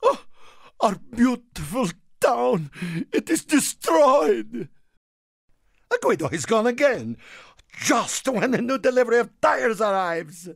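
A man voicing an excitable, warm-hearted Italian car in a video game, with an Italian accent and a theatrical manner. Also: very high-pitched, slow pace, creaky, dry recording, studio quality, exaggerated voice. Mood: fretful, pleading. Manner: theatrical, refers to himself in third person. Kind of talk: animated video game character lines.

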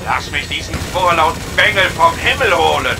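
A man's voice: fairly high pitch, lively intonation, moderate pace, vocal fry. A man playing a vengeful, hot-headed villain with a menacing delivery in a German audio drama. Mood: gleeful menace. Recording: loud music and engine noise behind.

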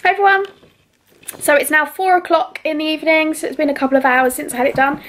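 A woman's voice: high-pitched, fast talker, fairly bright tone. A woman in her twenties with a British accent, chatting casually in a beauty vlog from her bedroom, filming at arm's length with lots of ums. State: losing her voice, under the weather. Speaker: beauty vlogger. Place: bedroom at home, indoors. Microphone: handheld camera or phone at arm's length.

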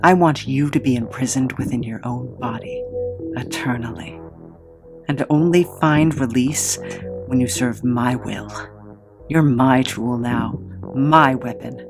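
An actress playing a defiant, vengeful heroine in an audio drama, with intense, deliberate, dramatic delivery. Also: female voice, rumbling low, music in the background. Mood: cold vengeance.

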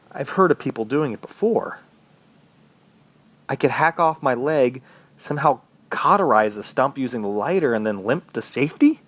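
A man's voice: mid-range voice, breathy edge. An actor as a desperate trapped man, dramatically swooping. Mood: grim, desperate. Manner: dramatic, halting, with anguished outbursts. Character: trapped, injured, desperate man surviving in the woods. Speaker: actor narrating in character. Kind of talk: first-person horror radio drama monologue. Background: music.

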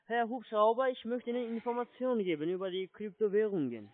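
High-voiced foreign-accented man on muffled phone. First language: not German. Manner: halting explanations, often checking with "ja?". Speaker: telephone sales agent, scammer. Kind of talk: phone sales pitch. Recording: muffled low-fidelity phone line.

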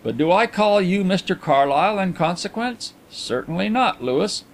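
Man acting a placid, urbane gentleman, lightly pitched. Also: lively intonation, moderate pace. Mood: suave, thoughtful. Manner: tranquil, suave, courteous. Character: placid, self-assured blind gentleman, good-humoured and urbane. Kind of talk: audiobook character acting.

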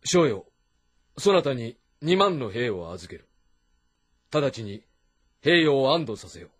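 An actor playing a shrewd middle-aged warlord of ancient China, husky and deliberate, in a historical video game. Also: male voice, mid-range voice, very animated, soft background music, exaggerated voice. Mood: calm, commanding. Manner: authoritative, deliberate, lordly. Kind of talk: dramatic Japanese historical game voice acting.

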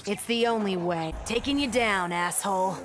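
A woman's voice: mid-range voice, normal speed, natural voice. An actress playing a cocky young female soldier in a fighting game, with an American accent and a casual, punchy delivery. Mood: defiant. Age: young adult. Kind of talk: video game fighter banter. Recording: game ambience noise behind.

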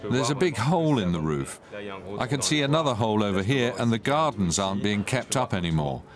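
A photographer in his thirties walks through what he sees, his low voice rising and falling with lively intonation. He sits indoors by a window, and a busy crowd is clearly audible behind him. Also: fairly quick. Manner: explanatory, pointing things out. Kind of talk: explaining his work.

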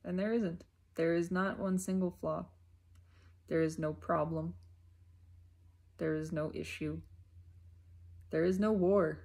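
Husky, creaky woman musing slowly. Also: on the low side, steady tone, a little mellow. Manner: halting short phrases with deliberate repetition. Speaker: self-reflective spiritual vlogger. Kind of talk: unscripted reflective spiritual monologue.

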